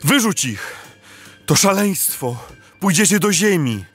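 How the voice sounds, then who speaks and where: A man's voice: high voice, sing-song, takes it slowly, a bit bright, faint music behind, heavily acted. An actor playing a frightened, agitated villager in a radio play, urgently exclaiming his warnings. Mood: alarmed, urgent.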